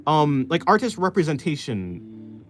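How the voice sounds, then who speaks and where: A man talks casually on a live art stream, working through explanations in loose, rambling bits that keep restarting. His pitch moves around a lot and never sits flat. Clear music plays underneath his voice the whole time. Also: unhurried. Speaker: artist streamer. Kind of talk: casual live-stream chat while drawing.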